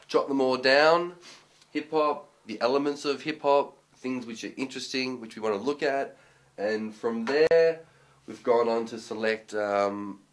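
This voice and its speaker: A twenty-seven-year-old Australian man explaining his documentary work in a relaxed, thoughtful way, pausing with ums and restarting sentences. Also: medium pitch. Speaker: documentary filmmaker. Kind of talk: casual explanatory talk to camera.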